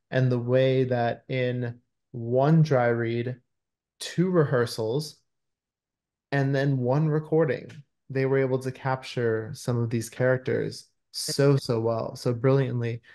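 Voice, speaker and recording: A man's voice: mid-range voice, a little mellow. A man in his thirties giving a conversational interview over a video call, effusive and thoughtful as he searches for his words. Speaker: screenwriter being interviewed. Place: indoors in a room at home, on a video call. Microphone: computer video-call microphone.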